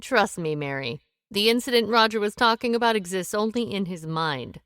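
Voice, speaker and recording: An actress playing a proud, secretive businesswoman who speaks briskly and tartly in a radio play. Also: medium pitch, animated, fairly bright tone, clear, resonant tone, no echo, studio quality, natural voice. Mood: defensive. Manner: brisk, tart and defensive. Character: sharp, proud woman business owner, secretive. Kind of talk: radio drama acting.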